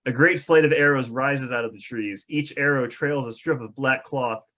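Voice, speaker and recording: Guy reading out stage directions at a table read, medium pitch. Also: moderate pace, bright, forceful tone. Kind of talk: scripted narration at a table read.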